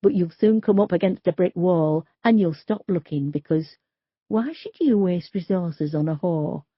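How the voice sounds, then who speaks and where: A woman's voice: lowish voice, animated, moderate pace, husky, dry recording, lo-fi sound. A woman reading the lines of a grieving, bitter working-class young man who swears and talks in a confrontational way. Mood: bitter, angry.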